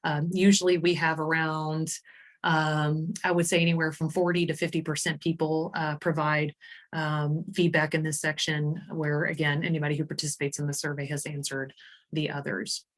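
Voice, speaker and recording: A woman with a low voice walks through a software demo on a video call. She explains in an informal, conversational way, as a presenter would in a webinar, often adding small tag questions. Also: little pitch movement, normal speed. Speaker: presenter demonstrating survey software. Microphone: computer mic on a screen-share video call.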